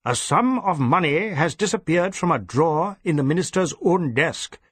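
A man's voice: on the high side, expressive, normal speed, fairly bright tone, slightly rough, dry recording, clean studio sound, voice pushed up. A man voicing an irascible, overbearing senior Indian police chief who snaps and repeats himself impatiently, in an audiobook. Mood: stern, commanding. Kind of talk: audiobook character acting.

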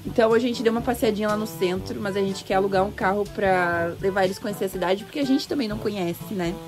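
A woman of middle pitch talks casually and lays out plans in a chatty way. Loud music plays behind her voice in the recording. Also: fast talker. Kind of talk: casual chat.

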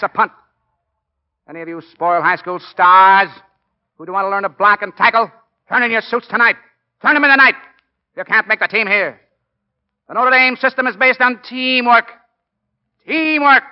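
Man acting a high-voiced, driven football coach. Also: close to an everyday voice. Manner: barking, urgent, commanding. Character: driven, brisk, enthusiastic college football coach. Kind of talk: 1940s radio acting. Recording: muffled old 1940s radio recording.

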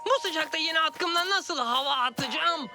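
An impatient little talking cat played by a woman in a children's cartoon. The voice is high and pleading, full of melodrama and vanity. Music runs underneath. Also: normal speed, natural voice. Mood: dramatic, worried. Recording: music behind the dialogue.

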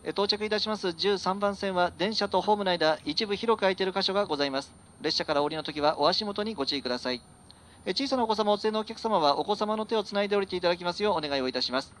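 A man's voice: expressive, quick pace, a bit bright. A man working as a train conductor, giving formulaic live announcements over the PA. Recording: train PA with vehicle noise. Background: train rumble.

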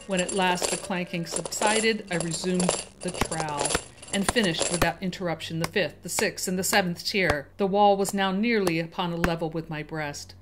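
A woman's voice: lowish voice, normal speed, very noisy. A woman storyteller giving a theatrical, ominous dramatic reading of a gothic story. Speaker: storyteller, channel host. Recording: music under the voice.

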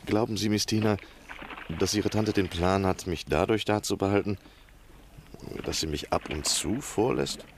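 A man's voice: low-pitched, normal speed, a little hiss or hum, natural voice. A man playing a courteous, scheming lodger in a German radio drama, questioning politely but insistently. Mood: coaxing, insistent.

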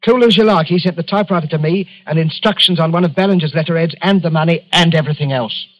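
A man's voice: on the high side, quick pace, fairly bright tone, natural voice. An actor playing a meek, troubled travelling salesman accused of murder in an old radio drama, hesitant, polite and brooding. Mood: agitated, insistent. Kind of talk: 1940s radio drama acting. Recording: muffled old radio recording.

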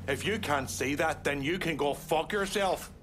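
A man's voice: fairly high pitch, normal speed, noisy background, close to an everyday voice. Man acting brash, belligerent mobster. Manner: pushy, belligerent. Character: brash, foul-mouthed middle-aged male Irish mobster. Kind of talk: cinematic video game acting.

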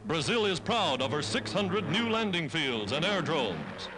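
High-pitched American 1940s newsreel man. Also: sing-song, moderate pace, very noisy. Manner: declamatory, formal. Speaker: newsreel narrator. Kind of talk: 1940s newsreel scripted narration. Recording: old 1940s newsreel soundtrack, loud music. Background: loud newsreel music.

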